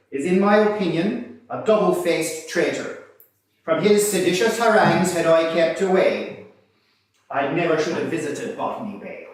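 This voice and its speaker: A repentant Irish exile, played by a man reciting satirical verse, aggrieved and fawning, with theatrical over-earnestness. The pitch is pushed high and the sound is distant and heavily reverberant. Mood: bitter, denouncing. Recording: distant, very echoey room.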